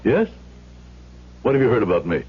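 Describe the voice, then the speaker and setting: An actor playing a proud, principled immigrant shoemaker in a radio drama, speaking formally and emphatically. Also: male voice, lowish voice, huge pitch swings, slightly rough, full character voice. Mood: wary, defiant. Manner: formal, emphatic, deliberate speech. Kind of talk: old-time radio drama acting. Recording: muffled old radio recording.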